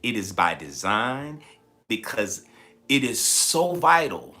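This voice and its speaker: A man hosting a motivational livestream, speaking emphatically and repeating phrases, his voice slightly raspy and tired. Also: lowish voice, big pitch swings, slow pace, pushed and bright. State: slightly raspy, tired voice from shouting the night before. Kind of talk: motivational livestream talk, preaching-like.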